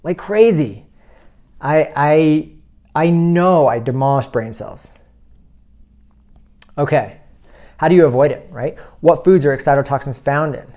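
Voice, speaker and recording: A man's voice: a little high, mellow, muffled recording. Earnest man in his thirties urging viewers. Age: 30s. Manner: earnest and urging, lists items rapidly. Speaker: health video host. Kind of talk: informal health talk to camera.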